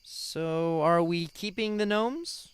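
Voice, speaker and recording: A man's voice: high-pitched, slow, clear, resonant tone. A male actor playing an earnest, sweet young grandson in a radio play. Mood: eager, earnest.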